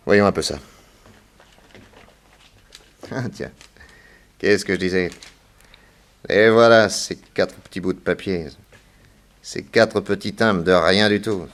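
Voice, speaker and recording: An actor playing a shrewd, commanding detective in a crime radio drama, authoritative with a wry, knowing manner. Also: male voice, low voice. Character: shrewd, commanding male detective, coolly ironic.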